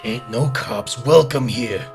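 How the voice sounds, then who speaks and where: An actor playing a crooked, blustering dockside thug in a gritty crime audio drama, talking in tough, slangy lines. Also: male voice, medium pitch, takes it slowly. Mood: threatening. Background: music.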